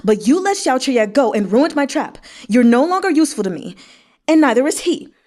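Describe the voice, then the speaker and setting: A woman's voice: high-pitched, big pitch swings, fairly quick, darkish tone, voice pushed up. A woman voicing a cruel, sneering male villain with mocking, domineering delivery in a historical novel read-aloud. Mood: hostile, commanding.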